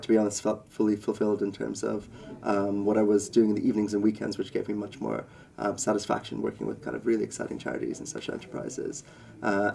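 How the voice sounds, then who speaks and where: Guy with a dark gravelly voice answering interview questions, earnest, lots of ums. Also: lowish voice. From India. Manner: earnest, many fillers and list-like phrasing. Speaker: interviewee, company co-founder.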